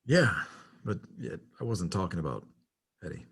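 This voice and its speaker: A man acting as a teasing, awkwardly smitten guy in romantic banter. His voice is gravelly and swings animatedly, with a casual, halting delivery. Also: low voice, very animated, exaggerated voice. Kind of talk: table read of a screenplay, romantic banter.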